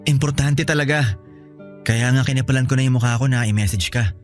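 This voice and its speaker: A man's voice: medium pitch, brisk, faint music behind, close to an everyday voice. A man with a Filipino accent playing a worried, earnest young boyfriend and dutiful son in radio drama dialogue.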